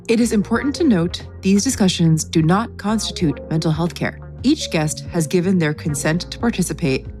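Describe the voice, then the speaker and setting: Calm woman podcast host. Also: lowish voice. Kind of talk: scripted intro and interview questions.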